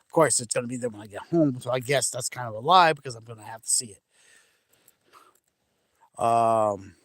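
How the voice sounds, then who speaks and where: Brief: middle-aged guy, faint Maine accent, mid-pitched, rambling and easygoing, dry phone audio outdoors. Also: brisk, fairly bright tone, no room sound. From Maine, New England. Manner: chatty, rambling, laughs easily, frequent restarts. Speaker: vlogger. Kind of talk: casual live chat with viewers. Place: outdoors by a large lake at sunset. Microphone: phone camera held by hand.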